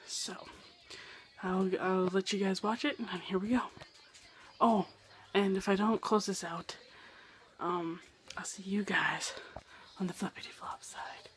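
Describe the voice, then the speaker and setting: A man's voice: sits high, steady tone, a little slow, fairly bright tone, slight background noise. A young man vlogging casually from his bedroom on a handheld camera, rambling and self-deprecating, with a voice that is wearing out. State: losing his voice. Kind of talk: casual vlog talk to camera. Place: own bedroom.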